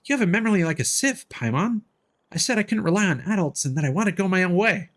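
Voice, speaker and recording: A man's voice: sits high, expressive, fast, a bit bright. A man voicing a stubborn, self-reliant young child who lives alone, from a video game. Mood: self-assured. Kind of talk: reading game dialogue in character.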